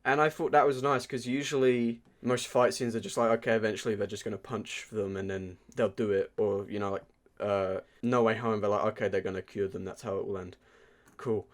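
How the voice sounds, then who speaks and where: A man's voice: fairly low pitch, level tone, fairly quick. A man co-hosting a podcast, reviewing films in a casual, informal way as he thinks aloud. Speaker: podcast co-host. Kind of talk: casual film review chat.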